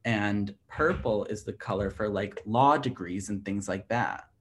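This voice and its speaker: A man's voice: fairly low pitch, unhurried, fairly bright tone, slight background noise. A man of twenty in casual chat as a podcast co-host, talking loosely with many fillers and laughing easily. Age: 20s. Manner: chatty, heavy use of "like", laughs easily.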